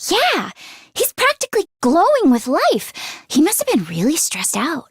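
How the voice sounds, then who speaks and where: A woman voicing an earnest, squeamish teenage schoolgirl mechanic in an anime game, expressive and quick to exclaim. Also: high voice, no room sound, studio quality, exaggerated voice. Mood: relieved, gentle.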